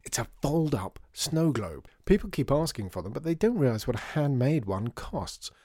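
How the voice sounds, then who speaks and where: A man's voice: mid-range voice, sing-song, normal speed, dark tone, husky, no echo, clean studio sound, pitched above the performer's own voice. A man doing a chatty, wry old-fashioned father. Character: cheerful fussy father, a toymaker, wry.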